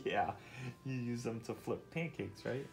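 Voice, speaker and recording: A man's voice: mid-range voice, unhurried, vocal fry, over music, poor audio. A man reading a nonfiction picture book aloud to his child, explaining and asking questions as he goes. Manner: explains and asks questions as he reads.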